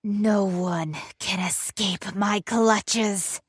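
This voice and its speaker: A woman's voice: mid-range voice, fairly even intonation, extremely slow, husky, no room sound, clean studio sound. A woman voicing a fierce, haughty fantasy game character in a dramatic manner. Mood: menacing.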